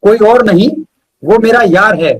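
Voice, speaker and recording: A man's voice: high-pitched, a little slow, slightly rough, low-quality recording. A man reciting poetry as a guest poet, repeating lines for emphasis, with short asides to listeners.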